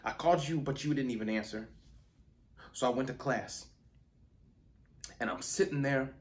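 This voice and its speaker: A man's voice: mid-range voice, close to an everyday voice. An actor playing an angry, wounded teenage boy confronting his absent father in an intense, emotional theatrical monologue. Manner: intense, emotional, swinging from hushed to explosive.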